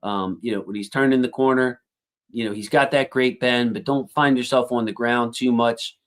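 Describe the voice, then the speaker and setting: A man hosting a casual football livestream, reading out viewer comments and thinking aloud with lots of fillers, and his voice has a slightly squeaky edge. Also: steady tone, moderate pace, fairly bright tone. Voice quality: self-described squeaky voice. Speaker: football channel host reading live chat.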